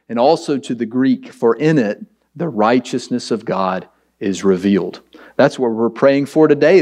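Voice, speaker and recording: Male pastor, raspy and hoarse, conversational emphatic preaching. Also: darkish tone. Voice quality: raspy, deep. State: hoarse from preaching late the night before. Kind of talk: sermon.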